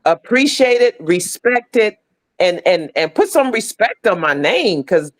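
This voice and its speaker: Emphatic American woman, medium pitch. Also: very animated, unhurried. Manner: emphatic, repetitive phrasing. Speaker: podcast guest, stage play cast member. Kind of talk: casual, heartfelt conversation. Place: video call from a phone.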